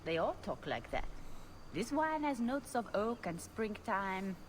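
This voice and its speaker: A woman's voice: medium pitch, sing-song, unhurried, a little hiss or hum. A woman playing a polite servant at a party in a video game, with an earnest, wry way of presenting. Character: polite serving woman, drily offering party food.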